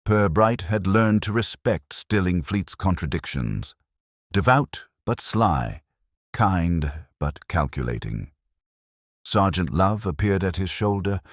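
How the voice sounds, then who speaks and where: An extremely low-pitched man narrates a mystery audiobook. His delivery is measured and dramatic, with deliberate phrasing and a lively rise and fall. The sound is close and dry. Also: dry recording. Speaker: story narrator.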